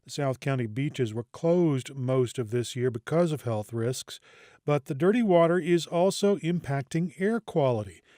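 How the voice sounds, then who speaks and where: A man delivering a scripted television news report in an even broadcast manner. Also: mid-range voice, moderate pace, no echo, clean studio sound. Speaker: news anchor.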